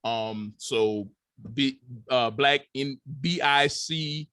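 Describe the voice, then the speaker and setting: A man on a panel, introducing his comics work, quick and halting with restarts. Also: medium pitch, pushed and bright, clear, resonant tone, dry recording. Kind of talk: panel talk on a video call.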